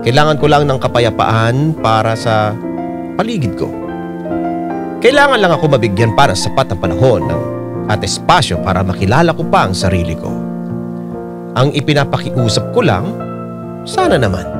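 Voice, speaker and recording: Actor playing a troubled, defensive cheating husband, lively pitch. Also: male voice, moderate pace, long pauses, over loud music, natural voice. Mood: anguished, confused. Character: troubled, defensive unfaithful young husband and son. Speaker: radio drama actor. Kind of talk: Filipino radio drama acting and first-person narration.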